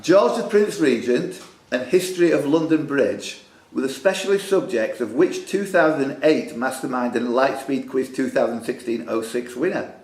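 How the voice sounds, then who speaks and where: A man's voice: mid-range voice, moderate pace, a little mellow. A man hosting a quiz in a club room, reading out questions and bantering in a jokey, teasing way. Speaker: quiz host. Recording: echoey room recording with background noise.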